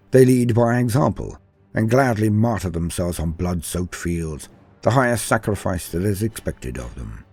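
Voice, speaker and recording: A man's voice: low-pitched, warm tone, husky. A man delivering dramatic scripted narration in a theatrical, grandiose manner as a lore host.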